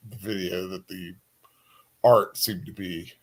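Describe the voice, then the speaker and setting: A man chatting casually as a co-host, halting and often searching for his words. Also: low-pitched, steady tone. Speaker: livestream co-host. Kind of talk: casual chat.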